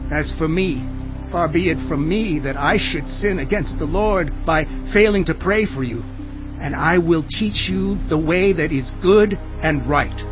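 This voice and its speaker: An actor playing an old gray prophet, solemn and emphatic, declaiming in a dramatized audio Bible. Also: male voice, fairly high pitch, measured pace, muffled recording, over music, lightly acted. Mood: earnest, reassuring. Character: old gray prophet, stern, solemn leader addressing his people.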